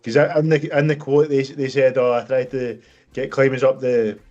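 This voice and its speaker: A man co-hosting a football podcast, speaking English natively, in casual, halting chat that repeats words. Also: mid-range voice, level tone, a little mellow, dull audio. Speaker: podcast co-host.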